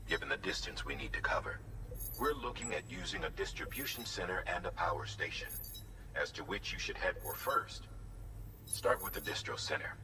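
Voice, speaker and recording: An actor playing a grave, authoritative mission commander in a video game, giving a measured, formal briefing. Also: male voice, deep voice, distant mic.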